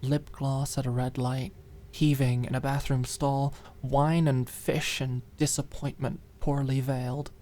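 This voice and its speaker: A woman narrating scripted audiobook fiction in a dramatic ASMR style, with expressive, deliberate phrasing. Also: extremely low pitch.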